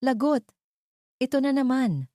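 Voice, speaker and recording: Woman acting sweet, animated young housemaid. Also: high voice, measured pace, dry recording, studio quality, voice pushed up. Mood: flustered.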